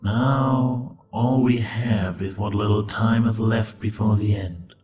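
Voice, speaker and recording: A man plays a resigned, devoted insect-creature medic in a dark audio drama. His voice is fairly low and very slow, solemn and deferential, over faint music. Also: fairly even intonation. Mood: sorrowful devotion. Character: loyal, resigned male changeling medic, devoted to his queen.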